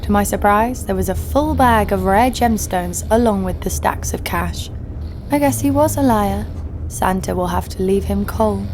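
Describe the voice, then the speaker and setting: A woman's voice: mid-range voice, moderate pace, noisy background, lightly acted. A woman playing a brash, scheming young con artist, narrating and talking in an audio drama with a sardonic, crude edge. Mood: sardonic, sly. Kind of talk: first-person narration and dialogue in audio drama.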